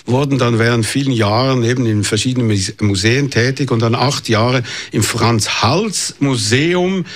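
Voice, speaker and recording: A man's voice: mid-range voice, moderate pace. Man asking probing interview questions. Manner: probing, conversational.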